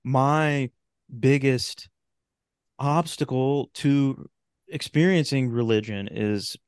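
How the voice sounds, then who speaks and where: A man's voice: mid-range voice, smooth, dry recording. Frank man, dry close podcast sound. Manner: frank, informal, halting. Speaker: podcast guest. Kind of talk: casual podcast conversation.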